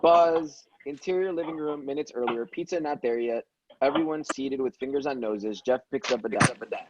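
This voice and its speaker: A man's voice: mid-range voice, normal speed, dull audio, background noise. A man reading script stage directions aloud in a plain, matter-of-fact way, with occasional stumbles. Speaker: stage directions reader at a table read.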